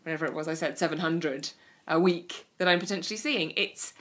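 A woman's voice: low voice, fairly quick, fairly bright tone. A woman co-hosting a casual chat, talking with eager emphasis about design. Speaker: podcast co-host.

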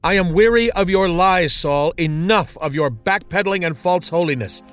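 A man's voice: sits high, lively intonation, normal speed, faint music behind, pitched above the performer's own voice. A man voicing a stern, unwavering old prophet who rebukes a king with firm, solemn pronouncements. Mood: rebuking. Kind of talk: storybook drama reading.

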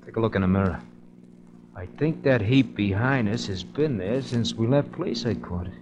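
A man's voice: on the low side, moderate pace, dull audio, natural voice. A man with an American accent playing a streetwise, wisecracking sidekick, slangy and sardonic, in a 1940s radio detective drama. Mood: wary. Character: wisecracking, streetwise male cabbie sidekick, skeptical and loyal.

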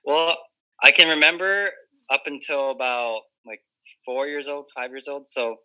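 Bright-voiced young man from Hawaii. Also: medium pitch, steady tone, a little slow, clean tone, rough recording. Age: 20s. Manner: earnest and reflective, with many fillers. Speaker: podcast guest. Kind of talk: casual interview chat. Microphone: video call.

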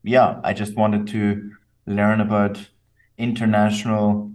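A young adult man from Austria, bilingual in English and German, answering interview questions in a thoughtful, measured way with fillers and restarts. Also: lowish voice, flat delivery, a little mellow, distant mic. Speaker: company co-founder, guest.

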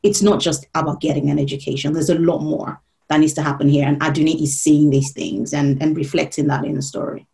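A woman from Nigeria whose first language is Yoruba, speaking as an interviewed author. Her voice sits a little low, and she explains carefully, often pausing to reword a thought. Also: level tone, creaky, dark tone. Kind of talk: reflective conversation.

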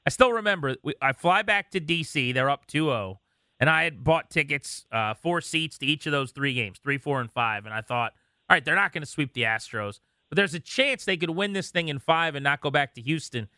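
Bright, forceful male sports radio host. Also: on the high side, lively intonation, fairly quick, clear, resonant tone, no echo. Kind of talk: sports radio interview, recalling games conversationally.

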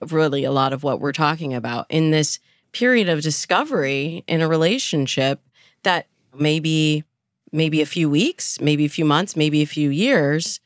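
A woman's voice: deep voice, no echo, clean studio sound. A woman speaking as a guest dating coach in a podcast interview, explaining advice and acting out imagined inner thoughts as examples.

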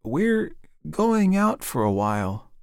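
Casting: male reader as a dazed, fearful wife and mother in an audiobook, lifted pitch, wavering questions. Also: huge pitch swings, normal speed, darkish tone, no echo, studio quality, heavily acted. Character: bewildered, frightened wife and mother. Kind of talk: dramatized audiobook reading.

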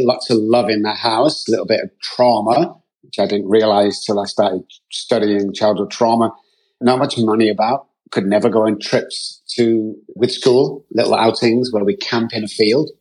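A man's voice: lowish voice, normal speed, darkish tone, slightly rough, poor audio. A middle-aged man with a Manchester accent, a podcast guest telling his life story in an open, rambling, earnest way. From England.

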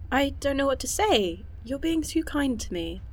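A woman's voice: sits high, big pitch swings, moderate pace, low-quality recording. A woman playing an anxious, earnest young woman scientist in an audio drama, flustered and hesitant as she rambles. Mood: delighted, grateful.

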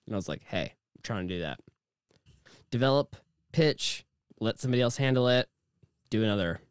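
A man with a naturally deep voice, co-hosting a casual podcast chat, jokey and crude with lots of halting fillers. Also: animated, clear, resonant tone, no echo, studio quality. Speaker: podcast co-host.

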